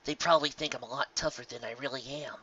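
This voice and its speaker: A man's voice: medium pitch, steady tone, fast, sharp tone, breathy edge, highs cut off. A man voicing a brash, boastful young female pegasus pony with hidden insecurity, in a cocky, emphatic storybook manner. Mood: doubtful, lonely. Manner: cocky, emphatic self-pep-talk.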